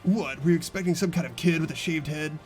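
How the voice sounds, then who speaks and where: A man's voice: fairly high pitch, fast, a little mellow, soft background music, natural voice. A man voicing a brash, whiny teenage baseball star in a game, slangy and exclamatory. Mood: dismayed.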